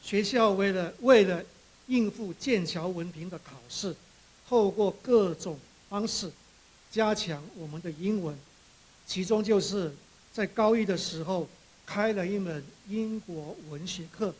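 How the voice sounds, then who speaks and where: Male author at a podium-style speech, high husky voice, halting measured delivery. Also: low-quality recording. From Borneo, Malaysia. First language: Chinese. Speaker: author giving an acceptance speech. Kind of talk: prepared speech, read in short phrases.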